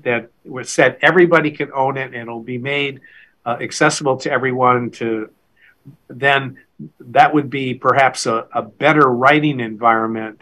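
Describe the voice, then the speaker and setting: An older man interviewing a guest over a video call from a home study, brainstorming aloud with lots of halting restarts. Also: mid-range voice, a bit bright, poor audio. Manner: hesitant, many fillers and restarts while brainstorming. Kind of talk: academic conversation.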